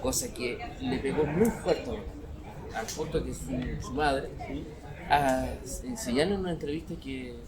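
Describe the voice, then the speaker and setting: A middle-aged man with a Chilean accent telling stories as a guest in casual conversation, haltingly, circling back over phrases. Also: mid-range voice, a crowd in the background, rough recording.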